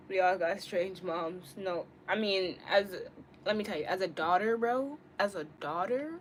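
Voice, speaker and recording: Young woman, casual live-stream chat, slangy, rambling, mid-range pitch, background noise. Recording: clearly noisy room ambience.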